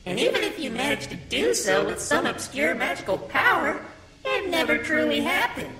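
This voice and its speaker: A woman's voice: high voice, sing-song, normal speed, sharp tone, faint music behind, exaggerated voice, rough recording. A woman voicing a cute but sadistic talking flower in a cartoon, mock-sweet, gloating and theatrical. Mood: gloating cruelty. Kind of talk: animated fan dub acting.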